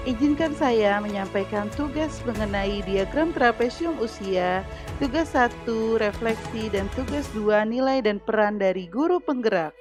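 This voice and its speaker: Teacher in her thirties, formal read-through, loud music under the voice. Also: a little high, expressive. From Indonesia. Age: 30s. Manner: measured, formal reading. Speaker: teacher-training candidate. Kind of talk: reading a prepared assignment presentation.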